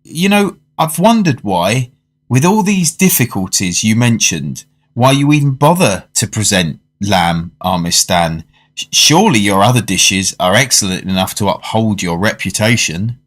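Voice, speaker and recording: An actor playing a polite, curious dinner guest who narrates a radio drama in a measured, thoughtful way. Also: male voice, fairly low pitch, expressive. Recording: music under the voice.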